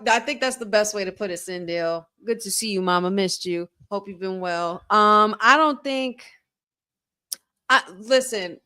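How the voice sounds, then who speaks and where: A woman co-hosting a live wrestling watch-along, chatting casually and laughing often. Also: mid-range voice. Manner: animated, chatty, quick to laugh.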